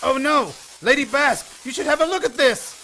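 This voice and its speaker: Actor as high, panicky henchman of a witch. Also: fairly quick, bright, forceful tone, a little hiss or hum, poor audio. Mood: panicked. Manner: panicky, deferential. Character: anxious, subservient henchman of a witch queen. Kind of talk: audio drama acting.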